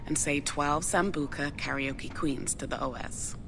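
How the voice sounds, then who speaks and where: A determined, evasive young woman in a zombie video game. Her voice sits fairly low and she talks casually in slang. A clear rumbling noise runs behind her. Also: fairly even intonation, a little slow. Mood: secretive, determined.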